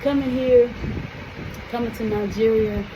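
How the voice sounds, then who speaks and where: A woman's voice: on the high side, steady tone, a little slow, lo-fi sound. An American woman in a casual to-camera vlog, talking in a chatty, emphatic way and checking in with the viewer often. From United States. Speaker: vlogger. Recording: noisy room with air conditioning hum.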